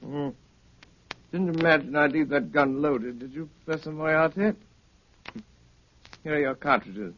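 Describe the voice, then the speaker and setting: An actor playing an unflappable gentleman detective with a dry, politely ironic manner, in 1930s radio drama style. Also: fairly high pitch, a little slow, long pauses, natural voice. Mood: calm, wry. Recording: muffled, hissy 1930s radio recording.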